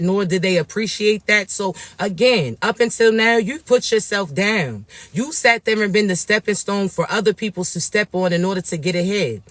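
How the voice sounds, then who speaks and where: A raspy-voiced man, talking slowly and tenderly to viewers as a spiritual reader. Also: high-pitched, no room sound. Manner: soft, drawn-out, affectionate address to viewers. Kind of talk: livestream reading for viewers.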